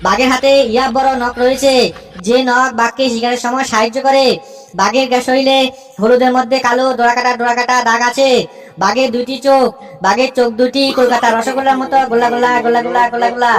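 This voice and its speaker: A woman's voice: on the high side, flat delivery, fast, soft background music, natural voice. A woman voicing a cheeky, boastful cartoon schoolboy who recites in a rote, sing-song way. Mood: confident reciting. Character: cheeky schoolboy reciting essays with cocky confidence.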